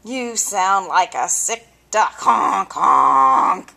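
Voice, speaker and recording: A woman's voice: mid-range voice, lively intonation, extremely slow, creaky, sharp tone, exaggerated voice. A woman voicing a mean, mocking young schoolboy bully in a storybook, with exaggerated jeering.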